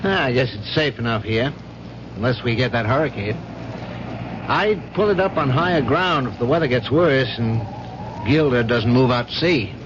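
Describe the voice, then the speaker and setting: A man's voice: mid-range voice, moderate pace, natural voice. Guy acting polite hesitant doctor man in old radio play, mid voice, noisy muffled sound. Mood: friendly, casual. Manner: courteous, hesitant, gently probing. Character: concerned, polite male doctor from a research lab. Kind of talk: 1970s radio drama dialogue.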